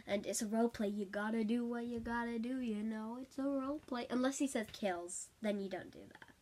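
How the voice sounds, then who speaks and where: High-voiced young-sounding woman telling a story. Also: brisk. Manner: animated, giggly, acts out quotes. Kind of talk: casual storytelling chat.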